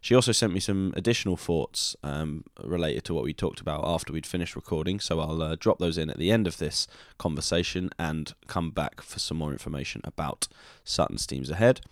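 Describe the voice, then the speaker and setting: A man with a deep, low voice hosts a conversational interview podcast. He phrases things loosely and tentatively. The sound is dry, with very little room in it. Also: fairly quick, few pauses, no room sound.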